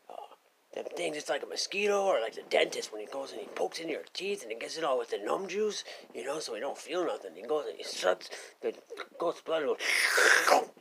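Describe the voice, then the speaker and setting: A man telling a comic story in a slangy, stammering, repetitive and excitable ramble. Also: medium pitch. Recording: slightly noisy recording with clicks.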